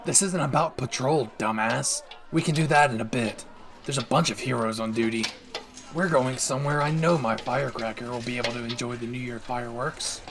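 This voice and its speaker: A man acts out a brash young male anime hero with a gravelly, rough edge to his voice. He barks, swears and teases cockily, with a doting streak underneath. There is clear ambient noise behind him. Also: mid-range voice, fairly even intonation, a little fast, close to an everyday voice. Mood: irritated, bossy. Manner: abrasive, swearing, barking, teasing. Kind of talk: anime character audio roleplay.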